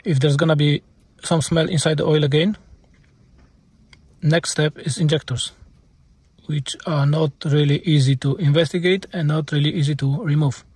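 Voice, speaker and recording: Male vlog mechanic, Polish accent and native tongue, raspy edge, informal explainer with halting second-language phrasing. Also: fairly high pitch, fairly even intonation, darkish tone, no room sound. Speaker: car mechanic presenting a repair video.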